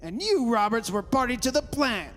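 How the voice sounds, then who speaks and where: Man acting a stubborn blustering father, bright hard voice. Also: extremely high pitch, very animated, normal speed, full character voice. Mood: defiant. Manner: insistent, argumentative. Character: stubborn sceptical father, proud and blustering, rationalising away terror. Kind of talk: old-time radio horror drama acting. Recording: hissy recording in a reverberant hall.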